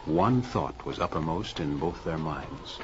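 A man's voice: very deep voice, animated, measured pace. A man narrating a radio adventure serial in a formal, declamatory, old-time announcer style. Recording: noisy, muffled old radio recording.

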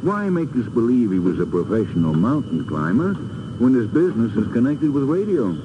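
An actor playing an affable, talkative professor with a genteel, chatty manner, in 1950s radio drama style. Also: male voice, medium pitch, sing-song, a little fast, close to an everyday voice. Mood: puzzled. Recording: muffled, noisy old 1950s radio recording.

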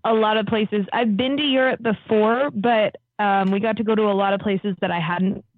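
A woman's voice: mid-range voice, steady tone, normal speed. A woman in her early twenties, a podcast guest, talking in an enthusiastic, giggly way. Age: 20s. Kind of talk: casual chat. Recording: phone-like line.

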